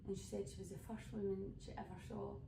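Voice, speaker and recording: A young Scottish woman talks through her set in a relaxed, rambling way, filling her sentences with ums and asides. Her voice has a gravelly edge. She sits back from the mic in an echoey room, with steady noise underneath. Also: medium pitch, fast. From west coast of Scotland. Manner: chatty, rambling storyteller with many fillers. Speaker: folk singer introducing her songs. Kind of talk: casual spoken introduction to an online showcase. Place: home room streaming online. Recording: roomy, distant mic with background noise.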